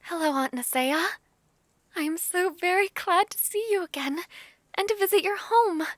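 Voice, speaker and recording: A woman playing a gentle, well-mannered young princess in a fantasy audio drama, with formal, thoughtful speech. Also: very high voice, normal speed, no echo, studio quality. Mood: glad, polite.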